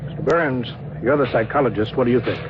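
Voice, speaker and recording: An actor playing a stern, grave starship commander in 1950s American radio drama style, speaking with deliberate authority. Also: male voice, mid-range voice, close to an everyday voice. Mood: grave, curt. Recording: dull, noisy old-time radio recording.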